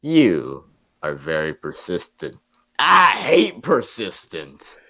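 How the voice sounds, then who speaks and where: A man voicing a gloating mad scientist villain in a cartoon table read, with theatrical, drawn-out proclamations. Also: low-pitched, wildly swooping pitch, pushed and bright, heavily acted, rough recording. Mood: menacing.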